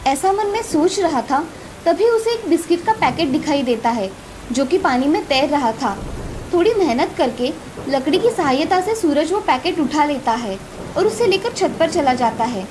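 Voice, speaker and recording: A woman's voice: sits high, animated, normal speed. A woman narrating a scripted moral story as a storyteller. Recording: clearly noisy, with ambience behind.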